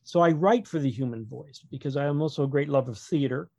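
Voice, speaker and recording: A man's voice: mid-range voice, quick pace, a little mellow, dry recording, studio quality. A man speaking as a guest author in a casual interview, rambling along as a wry storyteller. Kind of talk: casual interview chat about own writing.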